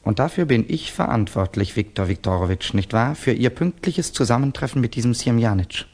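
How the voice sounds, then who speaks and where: Radio drama casting: young Russian student, mid-range voice, brooding loner, bitter and proud, rhetorical intensity. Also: male voice, mid-range voice, animated, fairly quick, a little mellow. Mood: tense, bitter. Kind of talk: 1960s German radio drama acting.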